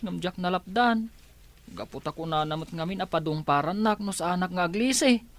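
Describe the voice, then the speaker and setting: A man's voice: sits high, normal speed, a bit bright. Man acting timid young son, apologetic. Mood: worried, apologetic. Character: timid, dutiful young son worried about his sister. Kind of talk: radio drama acting.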